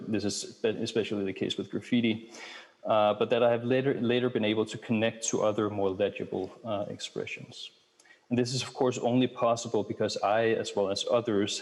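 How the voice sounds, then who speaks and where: A middle-aged Danish-born researcher giving a lecture and answering questions, measured and hesitant with frequent fillers. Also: male voice, lowish voice, darkish tone. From Denmark. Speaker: keynote speaker, researcher. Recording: long hall reverb.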